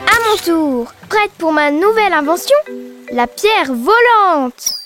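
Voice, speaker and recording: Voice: extremely high pitch, lively intonation, slow, over music, exaggerated voice. An actor voicing a little cartoon baby girl, playful, indignant and mischievous, with exaggerated childlike delivery in a children's cartoon. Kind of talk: French-dubbed children's cartoon acting.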